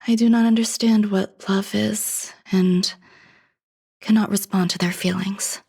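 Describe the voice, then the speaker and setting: A woman's voice: mid-range voice, fairly even intonation, creaky, a little mellow, slightly rough. Detached, reflective woman scientist. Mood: detached, pensive. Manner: measured, clinical, introspective. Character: detached, reflective female scientist genius pondering emotion. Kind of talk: scripted video game cutscene acting.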